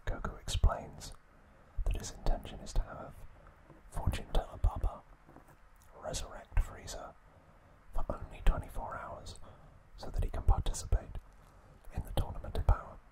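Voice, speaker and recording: A man whispering an ASMR trivia recap in a breathy, unvoiced, hushed voice with gentle, halting pauses. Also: rough recording. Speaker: ASMR host.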